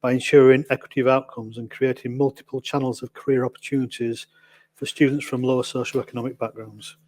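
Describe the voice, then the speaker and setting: Male panel chair, mid-pitched, formal, introduces speakers and asks questions. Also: level tone, quick pace, a little mellow. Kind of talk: moderating a conference panel.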